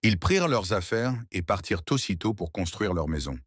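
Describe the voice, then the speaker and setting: A man narrating a scripted children's story with expressive, playful storybook delivery. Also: sits low, a little fast, slightly rough.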